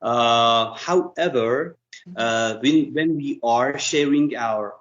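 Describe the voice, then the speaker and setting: A man speaks slowly as an interview guest, pausing often and filling gaps as he thinks. His voice comes through a dull, lo-fi recording. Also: medium pitch. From Iran. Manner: thoughtful, halting with frequent fillers. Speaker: guest expert. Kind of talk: interview conversation in English.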